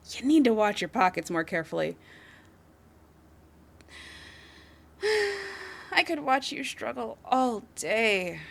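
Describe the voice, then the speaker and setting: Woman acting a coy, flirty criminal suspect, swooping pitch. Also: lively intonation, unhurried. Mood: smug, gloating. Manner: coy, teasing, playful delivery with breathy drops into whispers. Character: flirty, confident female criminal suspect teasing a detective. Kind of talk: flirtatious scripted audio roleplay, addressed to the listener.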